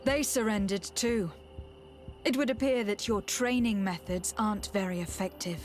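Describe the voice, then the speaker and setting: A woman's voice: mid-range voice, moderate pace, soft background music, natural voice. An actress playing a composed, direct young noblewoman in a video game, poised and articulate. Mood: cool, concerned. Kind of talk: video game dialogue acting.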